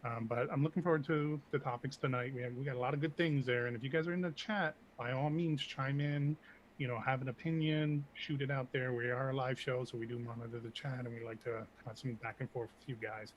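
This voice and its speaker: A man, an associate producer, chatting in a laid-back, joking way on a quiet mic set too far away. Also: medium pitch, fairly even intonation, brisk, muffled recording, a little hiss or hum. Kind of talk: casual chat.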